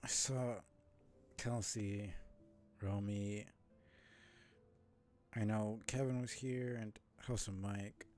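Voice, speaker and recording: A man's voice: on the low side, steady tone, slow, pauses often, a little mellow. A man livestreaming, chatting casually to his viewers in a rambling way as he thinks aloud, with music behind him. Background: music under the voice.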